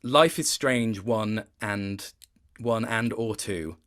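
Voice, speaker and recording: A man in his late twenties speaks as a guest in a relaxed interview. His delivery is conversational and rambling. He often doubles back to rephrase as he tells a story. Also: fairly low pitch, slow pace. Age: late 20s. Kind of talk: casual gaming interview chat.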